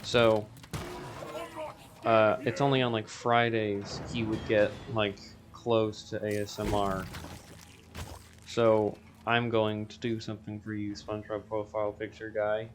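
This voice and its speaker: Scratchy, lower-pitched man hosting a game video, rambling and casual. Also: little pitch movement, measured pace, over music. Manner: rambling, self-interrupting. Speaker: gaming video host. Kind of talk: casual gameplay commentary.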